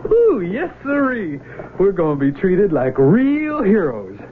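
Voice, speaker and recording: Boyish Civil War recruit, rural American drawl, folksy and keen, mid pitch, heavily band-limited noisy old radio drama. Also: male voice, wildly swooping pitch, takes it slowly, heavily acted. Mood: gleeful, dreamy. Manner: folksy, enthusiastic, drawling country talk. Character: eager, boyish young rural Union Army volunteer. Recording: noisy, tinny vintage radio broadcast recording.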